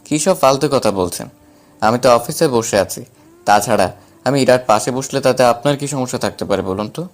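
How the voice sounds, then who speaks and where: Guy acting as young assertive brother in a story drama, medium pitch, music under him. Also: normal speed, lightly acted. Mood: challenging.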